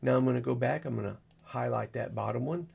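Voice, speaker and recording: A man with a gravelly, husky voice giving a casual, unscripted walkthrough as a video host, rambling in a folksy way and narrating each step as he goes. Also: mid-range voice, quick pace, a little mellow, a little hiss or hum. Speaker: amateur radio operator, video host.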